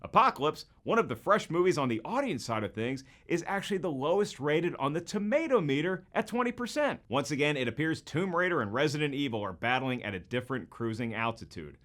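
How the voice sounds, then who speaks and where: Male comedian-host for a scripted video essay: lively, swinging intonation, slightly high voice, wry wisecracks. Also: brisk, hardly any pauses. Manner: wisecracking, mock-congratulatory, rhetorical questions.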